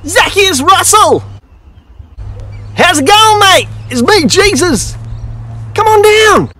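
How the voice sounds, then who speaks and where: A man putting on a high, cheery voice for a friendly, welcoming figure who talks loud and slow. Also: big pitch swings, slight background noise, exaggerated voice.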